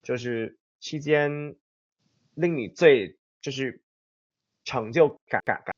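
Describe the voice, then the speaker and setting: A man's voice: mid-range voice, slow pace, highs cut off. A man interviewing a student, friendly and chummy, calling him "man" and "dude". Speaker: interviewer for a language program. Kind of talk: casual interview.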